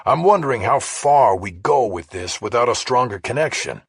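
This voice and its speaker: Man playing a blunt, husky male newsroom colleague. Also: medium pitch, animated, moderate pace, dry recording, clean studio sound, voice pushed up. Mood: blunt, questioning. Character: Myron, brisk, questioning male newsroom colleague. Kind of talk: audiobook character dialogue.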